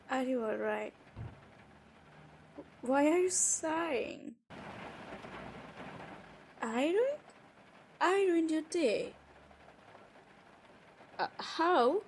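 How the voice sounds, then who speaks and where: A woman playing a hurt, defiant young college-age stepsister in an improvised audio roleplay, speaking emotionally and haltingly with sighs and pauses. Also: sits high, darkish tone, heavily acted. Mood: concerned, awkward. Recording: faint background noise.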